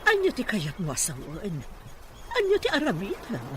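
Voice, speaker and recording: A woman's voice: mid-range voice, wildly swooping pitch, normal speed, noisy background. An actress playing an insistent older mother in a radio drama, with a pleading manner.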